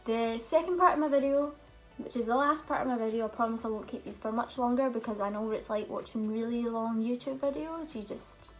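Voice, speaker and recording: A woman's voice: a little high, fairly quick, hardly any pauses, faint music behind, rough recording. A young woman, chatting openly and telling stories in a casual question-and-answer vlog. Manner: chatty, open storytelling with fillers. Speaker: vlogger.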